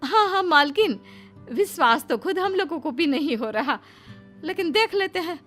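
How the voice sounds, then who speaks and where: A woman's voice: extremely high pitch, expressive, normal speed, a bit bright, soft background music. A woman voicing a doting, humble middle-aged housemaid mother in an affectionate, homely, exclamatory cartoon-story way. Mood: humble, reassuring. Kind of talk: Hindi moral-story cartoon dialogue.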